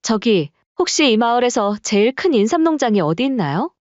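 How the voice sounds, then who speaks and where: A woman voicing an eager young woman from a TV crew, speaking brightly and excitedly. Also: fairly high pitch, fairly quick, no echo, dull audio, pitched above the performer's own voice.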